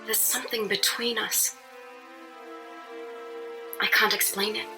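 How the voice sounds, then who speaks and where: A woman's voice: mid-range voice, little pitch movement, slow pace. A woman playing a troubled, self-doubting young heroine in an audio drama, speaking in an earnest, burdened way. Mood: anguished, earnest. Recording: voice under loud music.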